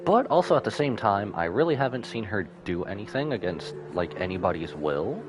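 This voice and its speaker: Very deep-voiced man streaming a game. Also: sing-song, brisk, music in the background. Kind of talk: casual live game commentary.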